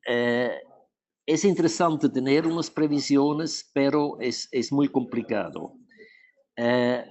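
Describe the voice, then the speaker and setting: Swiss planner, non-native Spanish with Portuguese bleed, slow halting delivery, dull lo-fi call sound. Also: male voice, medium pitch, a little mellow. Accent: Swiss, Portuguese-tinged Spanish. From Switzerland. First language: not Spanish; speaks Spanish mixed with Portuguese. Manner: halting, many restarts and fillers, mixes in Portuguese words. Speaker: urban planner and mobility specialist, conference speaker. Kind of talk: remote conference presentation.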